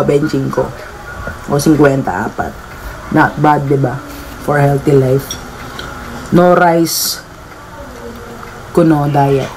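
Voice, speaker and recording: A chatty food vlogger talks casually over an eating video, slipping English phrases in. The voice sits at a middle pitch. A faint hum of room noise stays behind it. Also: low-quality recording. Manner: chatty, mixes English into talk, playful.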